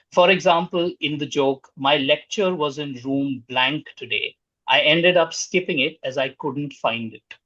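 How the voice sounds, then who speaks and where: Higher-voiced man hosting a quiz, roomy sound. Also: sharp tone, lo-fi sound. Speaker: quiz master reading questions. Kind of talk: reading out quiz questions and scoring answers.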